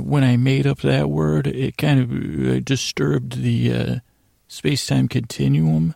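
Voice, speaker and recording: A man hosting a sleep podcast in a rambling bedtime monologue, halting and meandering, repeating himself and trailing off mid-thought. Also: medium pitch, creaky, mellow, no echo, clean studio sound.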